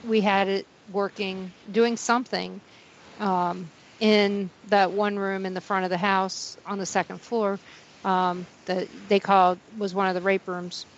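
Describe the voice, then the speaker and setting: A woman hosting a paranormal talk radio show, with a low voice for a woman, telling rambling stories and chuckling between them. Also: little pitch movement, measured pace. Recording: dull lo-fi sound with faint hiss.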